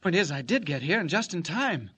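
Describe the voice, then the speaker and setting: A man's voice: on the high side, big pitch swings, a little fast, bright, forceful tone, slightly rough, exaggerated voice. A man playing an eager, mild-mannered reporter with earnest gee-whiz talk in a 1940s radio drama. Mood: sheepish. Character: eager, mild, boyish male newspaper reporter.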